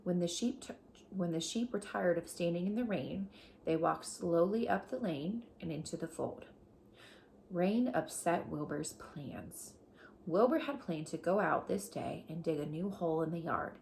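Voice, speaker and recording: Low-pitched, creaky woman reading to children. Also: a little mellow, slight background noise. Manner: deliberate, explanatory, with questions to young listeners. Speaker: teacher reading a book aloud to pupils. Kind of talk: children's storybook read-aloud with explanations.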